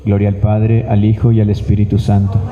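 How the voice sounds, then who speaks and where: A man from Colombia leading prayers and giving a spiritual talk at a retreat, slow and earnest, repeating phrases for emphasis. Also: lowish voice, monotone, over loud music, a loud crowd. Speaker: retreat speaker. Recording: echoey, muffled hall recording.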